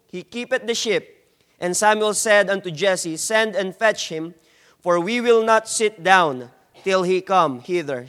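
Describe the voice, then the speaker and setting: A man's voice: sits high, clean tone. Filipino-accented man preaching in a hall. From Philippines. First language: not English. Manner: slow, emphatic preaching that grows louder. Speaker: guest preacher. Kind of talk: sermon with scripture reading and prayer.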